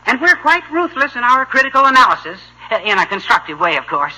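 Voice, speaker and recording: Earnest, self-important young man for old radio sitcom, lively pitch and piercing tone. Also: quick pace, exaggerated voice, poor audio. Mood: pompous. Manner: pompous, precise, self-important. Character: earnest, pompous teenage boyfriend. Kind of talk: vintage American radio sitcom acting. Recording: old-time radio recording.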